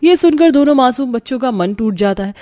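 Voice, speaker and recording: A woman's voice: sits high, animated, a little fast, dark tone. A woman giving scripted narration for a cartoon family story.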